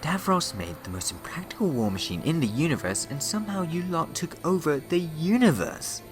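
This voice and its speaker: A man's voice: on the high side, lively intonation, normal speed, natural voice. A man playing a scheming, mischievous and theatrical villain in a sci-fi fan audio drama, mocking in manner. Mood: playful, mocking. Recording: echoey reverberant hall, music underneath.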